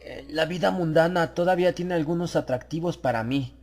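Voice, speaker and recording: A man acts out a well-mannered English traveller in a storybook drama. He speaks with modest joviality and a slightly affected politeness, pitched a little above plain narration. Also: fairly quick, a little mellow. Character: polite, self-deprecating English silk merchant revisiting his school.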